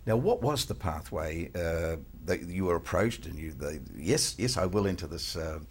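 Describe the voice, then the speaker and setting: A woman hosting an interview, asking slow, halting questions with many fillers. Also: a bass voice, lively intonation, a little hiss or hum. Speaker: show host, interviewer.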